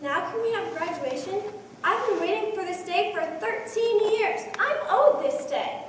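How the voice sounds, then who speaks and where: A woman's voice: very high voice, expressive, moderate pace. A woman acting an anxious young woman in a school one-act play. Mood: distressed, indignant. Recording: echoey hall from far off, faint noise.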